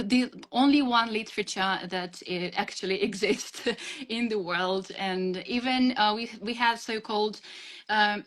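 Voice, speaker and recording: A young woman from Ukraine with a Ukrainian accent, Russian as her first language, answering interview questions earnestly on a video call, pausing often with fillers and restarts. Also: medium pitch, a bit bright. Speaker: guest teacher.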